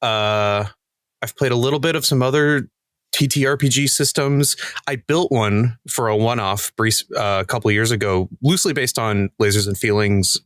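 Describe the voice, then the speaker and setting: A man's voice: mid-range voice, normal speed, a bit bright, no room sound, studio quality. Male comedian castmate, mid-range voice, wry and conversational. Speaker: podcast cast member, actor and comedian. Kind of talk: casual chat.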